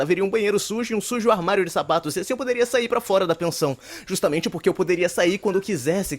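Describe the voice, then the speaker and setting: Guy acting as nerdy neurotic brazilian college shut-in, anime dub narration, talks fast n wordy, very up and down, bit pompous. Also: high voice. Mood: anxious, weary. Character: neurotic, verbose young male university student shut-in narrator. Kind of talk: dubbed anime narration, rapid inner monologue.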